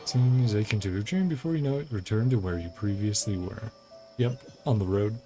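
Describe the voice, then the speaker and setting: A woman's voice: rumbling low, brisk, dark tone. A woman streaming a game, mixing casual commentary with dialogue she reads aloud. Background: game music.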